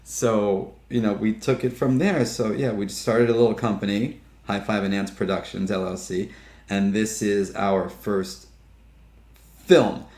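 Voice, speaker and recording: A man's voice: on the low side, steady tone, measured pace, a little mellow. A man in his fifties, a filmmaker guest telling stories in casual interview chat, laughing often and acting out what others said. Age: 50s. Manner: chatty, laughing storyteller who acts out quotes. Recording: roomy echo.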